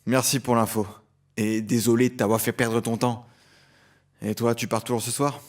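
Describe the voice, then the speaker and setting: A man's voice: medium pitch, level tone, normal speed, lightly acted. An actor playing a tormented criminal who tells his own story in a solemn, literary way in an audio drama. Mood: matter-of-fact. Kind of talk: scripted audio drama narration and dialogue.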